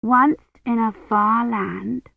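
A woman's voice: steady tone, takes it slowly, exaggerated voice. A woman voicing an eager, insistent little girl in a storybook, with a small childlike voice. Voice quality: small, breathy. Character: eager little girl prompting and judging a bedtime tale. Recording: roomy, muffled low-fidelity audiobook.

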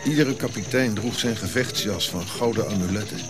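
An actor playing a reflective African prince who recounts his royal youth in measured, eloquent radio drama monologue, pausing as he goes. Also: on the low side, music in the background, close to an everyday voice. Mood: stately, proud. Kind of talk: audio drama narrative monologue.

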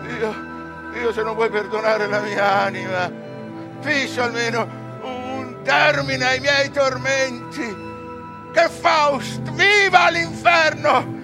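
A damned scholar facing hell in a verse tragedy. His pitch is extremely high and his delivery very drawn out, declaimed with anguish and terror over prominent music. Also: fairly even intonation, slow pace. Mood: desperate pleading. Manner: declamatory, anguished cries and pleading. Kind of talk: theatrical verse tragedy acting for radio. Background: dramatic music.

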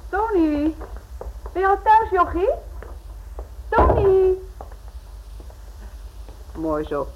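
A worried wife and mother with a lively, swooping delivery. She is fretful and expressive, heard on a dull old radio drama recording with faint hiss. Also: very high-pitched, very slow, full character voice. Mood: brisk, motherly. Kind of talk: Dutch radio play acting.

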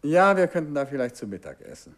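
An actor playing a calm, curious amateur detective in a 1950s radio drama, talking in a thoughtful, lightly teasing way. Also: male voice, fairly high pitch, fast. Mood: cheerful.

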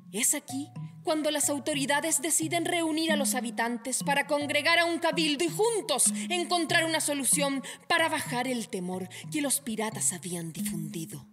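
A woman with a Chilean accent giving scripted, dramatic narration of a legend in a radio play. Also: high-pitched. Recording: music behind the voice.

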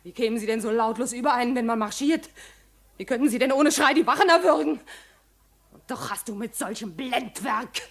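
An actress playing a defiant, scornful sorceress in a theatrical radio play, declaiming as if casting spells. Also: female voice, fairly high pitch, a little fast, close to an everyday voice. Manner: incantatory, declamatory delivery. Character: menacing sorceress woman conjuring the dead, defiant and scornful.

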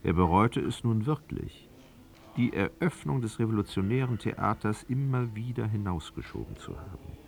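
A man narrating a literary radio drama in a measured, articulate storytelling manner. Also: on the low side, big pitch swings, gravelly, a little mellow, slight background noise.

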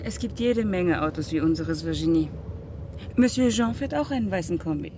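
A woman's voice: fairly low pitch, animated, normal speed, a little hiss or hum, close to an everyday voice. An actress playing a reproachful, reasoning wife and mother in a radio play. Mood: explaining. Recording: loud music in car scene.

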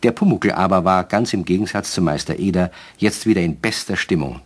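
A man's voice: low voice, brisk. A man narrating a German children's radio play as a scripted storyteller.

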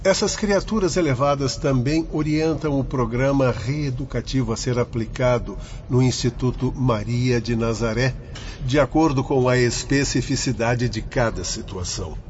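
A man's voice: mid-range voice, normal speed, a little mellow, slight background noise, lightly acted. A man playing an earnest, righteous spirit instructor in a radio drama, speaking solemnly and formally. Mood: solemn, explanatory. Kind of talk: scripted radio drama acting. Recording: dull lo-fi audio with music.

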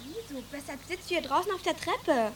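A woman's voice: sits high, animated, brisk, a bit bright, a little hiss or hum. A woman playing an earnest, protective young peasant girl with a hot temper in a radio drama. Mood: accusing, furious. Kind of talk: German radio drama acting.

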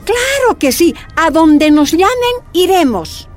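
An older woman who leads mining families, high-pitched and very expressive. She speaks slowly and with feeling, as in a radio drama. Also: soft background music, full character voice. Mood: eager, resolute. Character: older Bolivian miners' leader, warm, resolute woman. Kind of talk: radio drama acting, first-person testimony.

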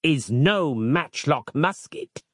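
A man's voice: a little high, expressive, takes it slowly, husky, no room sound, studio quality, pitched above the performer's own voice, heavily acted. A man voicing a stern, pious zealot commander who declaims imperiously in archaic speech for an audio story.

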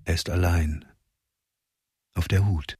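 A male narrator of a radio crime drama, speaking in the present tense. His voice is very deep and his delivery is calm and measured. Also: slightly rough.

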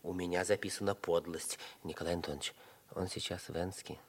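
Casting: sly tattletale student, lowish voice, deliberate, Soviet radio drama. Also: male voice, steady tone. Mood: sly, insistent. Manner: deliberate, reading out notes. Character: petty, sneaky male schoolboy informer. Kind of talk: mid-century Soviet stage acting for radio.

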